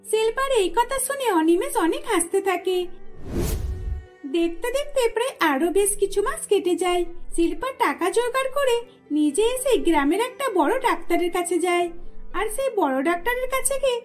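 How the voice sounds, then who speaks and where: Very high-pitched woman storyteller. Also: expressive, a little fast. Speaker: storyteller narrator. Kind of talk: scripted cartoon story narration. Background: faint music.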